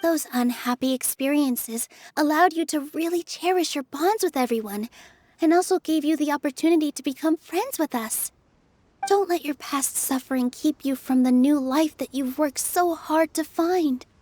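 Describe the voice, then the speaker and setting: A woman's voice: high-pitched, moderate pace, darkish tone, slight background noise, lightly acted. An actress playing a kind young female stage performer in a video game, speaking with soothing, sincere sympathy over music. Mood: encouraging. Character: gentle, encouraging young female theater performer. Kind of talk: scripted video game voice acting. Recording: music behind the voice.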